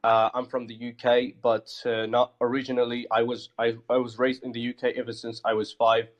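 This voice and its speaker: A man from Morocco, raised abroad, talking casually about his crypto project in a halting way full of ums and restarts. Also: medium pitch, level tone. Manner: halting, many fillers and restarts. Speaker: project founder guest. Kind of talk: casual live audio chat.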